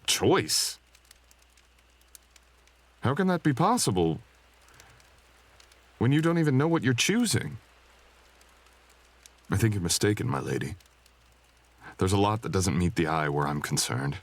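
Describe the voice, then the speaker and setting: Mysterious dragonkin stranger for romantic ASMR drama, played by a man: deep, gravelly, gentle, courtly and hesitant. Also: sing-song, a little slow, pauses often, full character voice. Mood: earnest, guarded. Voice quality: low, gravelly, husky. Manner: soft, hesitant, tender, archaic courtly phrasing. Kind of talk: intimate roleplay ASMR audio acting.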